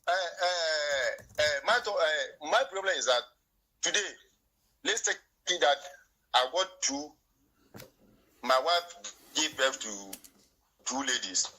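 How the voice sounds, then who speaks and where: A man from Ghana with a Ghanaian accent, Twi his first language, arguing his view in a heated debate, halting and repeating himself. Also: fairly high pitch, expressive, sharp tone, rough recording. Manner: repetitive, halting, argumentative. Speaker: guest.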